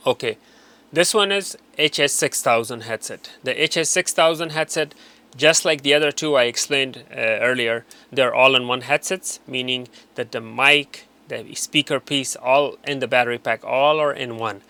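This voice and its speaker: A man's voice: mid-range voice, normal speed, bright, forceful tone. A man giving an informal, unscripted product walkthrough as an instructor, often repeating and rephrasing to explain.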